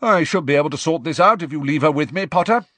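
A man voicing a gruff, practical woman professor with clipped, brisk speech in a storybook. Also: a little high, expressive, fairly bright tone, no room sound, pitched above the performer's own voice.

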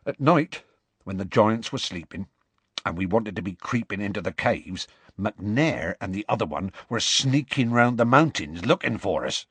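Gruff, rustic big fellow. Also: male voice, lowish voice, expressive, moderate pace, fairly bright tone, dry recording, full character voice. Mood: earnest explaining. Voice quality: gruff, growly. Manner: colloquial, rambling, dropped consonants. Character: big gruff rustic man, warm-hearted, indignant storyteller.

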